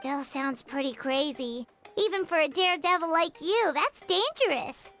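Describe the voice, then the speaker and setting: A woman voicing an excitable little female travel companion in a video game, bubbly and quick to tease. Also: high voice. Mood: chatty. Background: game music.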